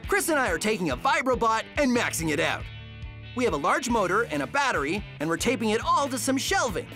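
A man's voice: very high voice, big pitch swings, fairly quick, gravelly, sharp tone, faint music behind. A middle-aged man hosting a children's science show with upbeat scripted narration.

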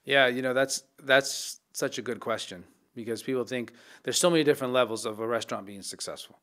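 A man's voice: medium pitch, fairly quick, pushed and bright. Reflective man on a podcast mic. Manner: reflective, many restarts. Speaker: chef, podcast guest. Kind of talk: casual interview talk. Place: dark podcast studio. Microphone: studio mic on boom arm.

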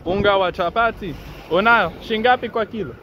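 A man's voice: high-pitched, fairly quick. A man hosting a casual vlog, chatting and switching between Swahili and English. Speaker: vlog host. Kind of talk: casual chat. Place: outdoor roadside market stall. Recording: noisy street market with passing traffic.

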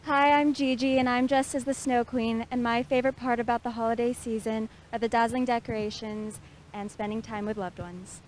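A woman's voice: on the high side, fairly even intonation, brisk, slight background noise, poor audio. A young woman ballet dancer introducing herself politely at a handheld mic on an outdoor street set.

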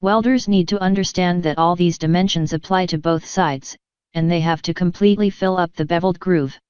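A woman instructor narrates a scripted technical lesson in a calm, even, measured manner. Her voice is slightly low and very clear and clean. The recording is dry and close, with no room sound. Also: dry recording. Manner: measured, even explanatory delivery.

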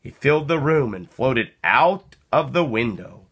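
A man reading a children's story aloud as narrator, with expressive, deliberate phrasing. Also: medium pitch, breathy edge. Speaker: storybook reader.

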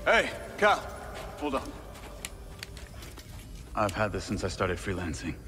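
Game sidekick: friendly male hired gun, conversational, lowish voice in echoing space. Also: very animated, slow, sharp tone, faint music behind, full character voice. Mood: friendly, persuasive. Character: friendly, practical male freelance gunslinger ally. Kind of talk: cinematic video game acting. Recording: long hall reverb, game score behind.

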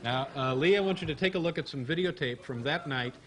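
Wry mock-serious talk show host. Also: male voice, a little high, quick pace, fairly bright tone. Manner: dry, mock-serious joking. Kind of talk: late-night comedy interview. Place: TV studio stage before an audience. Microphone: desk microphone.

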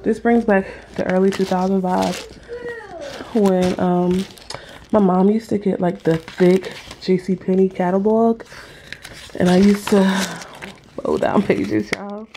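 A woman's voice: medium pitch, fairly even intonation, slow, mellow, a little hiss or hum. Chatty young woman with a cough. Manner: chatty, rambling, talks straight to the viewers. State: coughing with a sore throat. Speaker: vlogger. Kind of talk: casual haul vlog. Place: small room at home, indoors. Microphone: handheld phone camera at arm's length.

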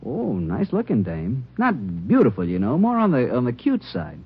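Husky, chatty diner counterman. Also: medium pitch, huge pitch swings, moderate pace, heavily acted. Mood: chatty, casual. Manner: folksy, talkative. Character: chatty, slangy male coffee shop counterman. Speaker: supporting actor. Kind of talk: 1950s radio acting. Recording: muffled old radio recording.

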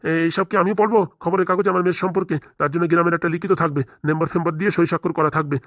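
A man's voice: a little high, steady tone, rapid-fire, fairly bright tone, no echo, studio quality, natural voice. A man playing a stern, protective middle-aged father-in-law who lectures insistently in a comic cartoon. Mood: stern, indignant. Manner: insistent, lecturing, rhetorical questions. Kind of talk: comic cartoon drama dialogue.

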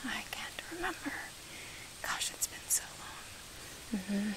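A woman in her twenties playing a curious, kindly wood nymph in an ASMR roleplay, whispering softly and musing hesitantly close to the mic. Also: medium pitch, animated, takes it slowly, rough recording. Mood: puzzled musing. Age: 20s. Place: outdoors in woodland. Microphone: close to the microphone. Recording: close whispered recording with hiss.

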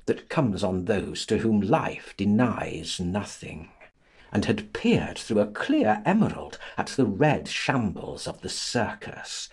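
A male audiobook narrator gives a theatrical reading of literary prose in a very husky, breathy-rough voice. His pitch rises and falls dramatically across phrases. He takes his time, delivering each clause slowly and expressively. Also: very animated, darkish tone.

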